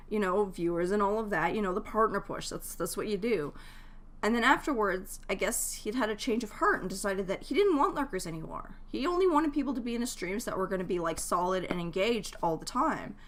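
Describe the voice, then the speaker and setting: A woman's voice: mid-range voice, fairly bright tone. A woman streamer chatting casually to her live viewers, giving advice in a rambling, jokey way and often breaking off mid-thought. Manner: jokey, laughs easily. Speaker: game streamer.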